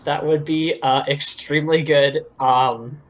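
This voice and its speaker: A woman's voice: low voice, fairly even intonation, a little slow. A woman co-hosting a podcast in casual banter, with a dry, mock-serious way of joking. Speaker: podcast co-host. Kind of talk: casual banter between friends.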